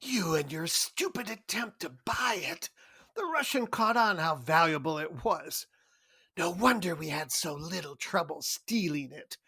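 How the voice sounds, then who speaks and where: An actor playing a fussy, slippery criminal accomplice in a radio play, precise, formal and prickly, with a husky, creaky voice. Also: male voice, fairly high pitch, lively intonation, measured pace, pushed and bright, heavily acted. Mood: outraged. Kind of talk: 1940s-style radio play acting.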